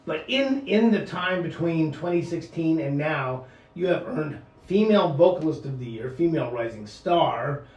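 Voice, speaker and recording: A man hosting a talk radio interview, halting and full of fillers and restarts as he asks questions. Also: a little high, animated, darkish tone, echoey room, distant mic, muffled recording. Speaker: radio talk show host.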